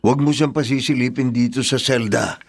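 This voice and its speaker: A man's voice: medium pitch, fairly even intonation, normal speed, gravelly. A man playing a defensive, stubborn jailed father, emphatic and aggrieved, in Filipino-accented Tagalog radio drama. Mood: resigned, bitter. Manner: indignant, emphatic.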